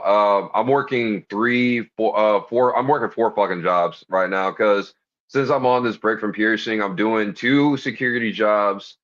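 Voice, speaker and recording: A man with a deep voice, talking as a guest in a casual interview, enthusiastic, slangy and profane. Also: fairly bright tone. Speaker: guest, body piercer. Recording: dull lo-fi stream audio.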